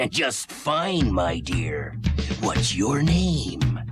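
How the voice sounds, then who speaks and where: An actor voicing a sly big bad wolf in a children's musical story, with a raspy, gravelly voice and a wheedling, falsely polite manner. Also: mid-range voice, very animated, drawn-out words, over loud music. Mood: smooth flattery.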